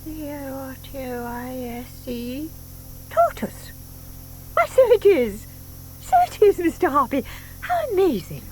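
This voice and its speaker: Sweet old lady, high and slow, polite, fluttery, a bit puzzled, kids audio drama, faint tape hiss. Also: sing-song, poor audio. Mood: marvelling. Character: sweet, trusting older English lady, easily puzzled. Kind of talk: dramatised children's audiobook acting.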